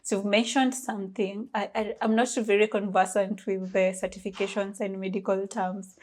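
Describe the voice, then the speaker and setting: A woman's voice: medium pitch, level tone, moderate pace, a little mellow. A woman with a Kenyan accent hosting a podcast, asking curious, probing questions and wanting things explained simply. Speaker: podcast host. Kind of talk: interview questions.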